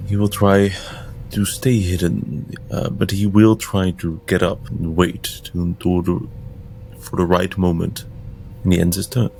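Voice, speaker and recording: A man's voice: sits low, flat delivery, a little slow, gravelly, darkish tone, a little hiss or hum. A man playing a tabletop role-playing game, describing his character's turn.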